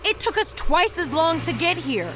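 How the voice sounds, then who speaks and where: A woman's voice: very high-pitched, very animated, normal speed, noisy background. A woman playing an exasperated, practical wife and mother in a comedy audio drama, firm and reproachful.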